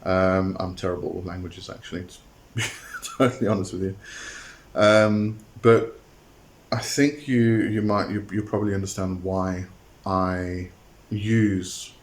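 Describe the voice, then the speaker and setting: Low, flat-voiced man explaining slowly. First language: not a Greek speaker. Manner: hesitant, many ums and repeated words. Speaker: occult channel host. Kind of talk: explanatory monologue to camera.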